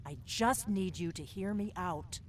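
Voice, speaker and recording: A woman's voice: medium pitch, a little slow, slight background noise, natural voice. An actress voicing a guarded, driven veteran female agent in a video game, speaking tersely and with command. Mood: defensive, insistent. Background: game music.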